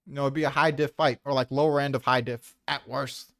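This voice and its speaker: A man's voice: mid-range voice, steady tone, brisk, gravelly, fairly bright tone, no room sound, studio quality. A man debating casually, argumentative and loosely profane as he makes his case. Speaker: debater on a manga ranking podcast.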